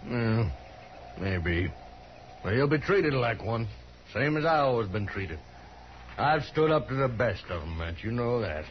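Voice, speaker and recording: A man's voice: medium pitch, big pitch swings, unhurried, pauses often. A man playing a boastful, stubborn gunfighter with a folksy, rustic way of talking in a 1950s radio western. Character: boastful, stubborn older gunman proud of his boy. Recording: dull vintage radio recording with hiss.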